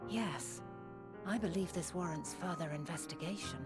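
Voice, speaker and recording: A woman playing a wise, resolute ancient leader in a fantasy video game, speaking formally in a measured, stately way. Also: fairly low pitch, natural voice. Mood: thoughtful, assured. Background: background music.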